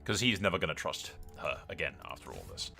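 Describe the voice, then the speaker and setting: A man hosting a game roleplay series, telling the story as he plays in an excitable, dramatic way. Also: very deep voice, fast, creaky, soft background music. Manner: excitable, dramatic, mild swearing. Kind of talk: lively game playthrough commentary and storytelling.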